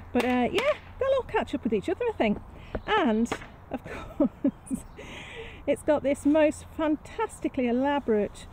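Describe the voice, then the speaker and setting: A British woman with a fairly high, soft-toned voice chats informally as she shows viewers around. She rambles in a relaxed, self-deprecating way. Some outdoor background noise comes through the handheld camera's microphone. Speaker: gardening vlogger. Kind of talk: casual rambling garden tour to camera. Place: allotment garden and greenhouse. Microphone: handheld camera's built-in microphone.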